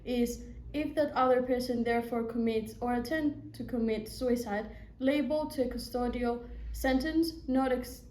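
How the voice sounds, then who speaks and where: A woman's voice: on the high side, warm tone. A young woman from Greece, a law student, reading a prepared presentation aloud with small slips. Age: young adult. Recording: echoey room with faint noise.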